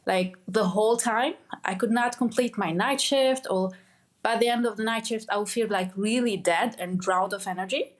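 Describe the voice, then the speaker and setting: A young woman from Lebanon talks as a podcast guest. Her voice sits at an ordinary middle pitch, and she tells her story haltingly, restarting phrases and leaning on "like". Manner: halting, many restarts and "like" fillers. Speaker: guest, medical doctor. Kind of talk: conversational interview.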